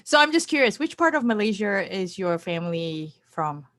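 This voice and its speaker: A woman's voice: medium pitch, sing-song, moderate pace, a bit bright. A woman from Singapore hosting a casual, chatty interview, giggly and often repeating her phrases for emphasis. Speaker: interview host.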